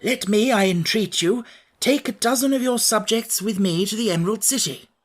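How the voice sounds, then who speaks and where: A man's voice: high voice, moderate pace, voice pushed up. A man voicing a kindly, clever male scarecrow who speaks politely and earnestly.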